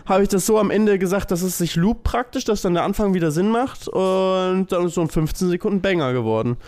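Fast-talking, higher-pitched man in casual chat. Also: a little mellow. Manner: chatty, colloquial, slangy. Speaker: podcast co-host.

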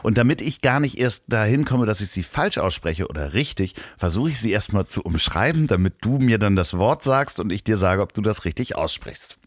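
A man's voice: fairly low pitch, expressive, a little fast, few pauses, dry recording. Hamburg man chatting, dry close sound. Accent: Hamburg German. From Hamburg, Germany. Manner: slurs some words. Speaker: podcast co-host. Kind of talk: casual chat.

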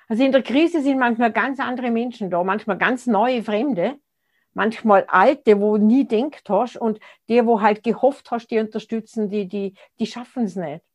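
A woman's voice: on the high side, a little mellow. A woman, speaking as an interview guest in a reflective, conversational way and often restarting her sentences as she searches for words. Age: 60s.

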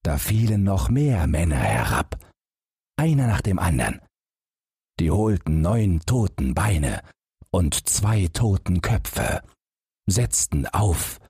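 A man's voice: low-pitched, a little mellow, breathy edge, no echo, studio quality. A man narrating a fairy tale as a scripted bedtime audiobook.

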